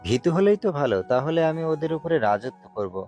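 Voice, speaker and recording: A man's voice: a little high, big pitch swings, fairly quick, a little mellow, faint music behind. A man playing an ambitious, bossy gangster plotting his rise in an audio story. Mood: scheming. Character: ambitious scheming male gangster underboss, commanding. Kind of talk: amateur Bengali audio-story acting.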